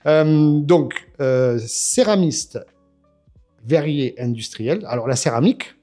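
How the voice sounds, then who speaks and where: A man's voice: a little high, expressive, takes it slowly, warm tone, soft background music. A man in his fifties from France, telling his story as a guest in a casual interview, with many hesitations and restarts. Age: 50s.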